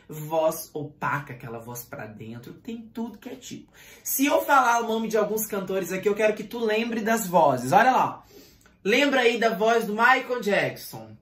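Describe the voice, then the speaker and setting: A male singing teacher giving a video lesson, speaking with animated energy and firing rhetorical questions straight at the viewer. His voice carries a noticeable room echo around it. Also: sits high. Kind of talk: online voice lesson. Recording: roomy echo.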